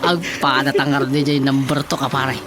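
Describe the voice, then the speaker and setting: Casting: male actor as jeering Ilocano drinking buddy, mid-pitched, repetitive and exclamatory, noisy radio drama sound. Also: level tone, moderate pace, lightly acted. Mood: teasing. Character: teasing male drinking buddy, cackling and excitable.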